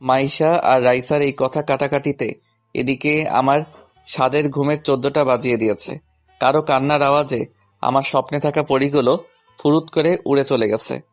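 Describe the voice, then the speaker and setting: A man's voice: medium pitch, steady tone, moderate pace, faint music behind. A man narrating a scripted romantic story in the first person, as its male lead.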